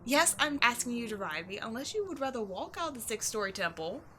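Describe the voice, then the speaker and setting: A woman voicing a giant female sphinx, a flirty, teasing and excitable temple guardian, in a playful, expressive audio roleplay. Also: a little high, a little fast, a little hiss or hum. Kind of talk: roleplay audio acting addressed to the listener.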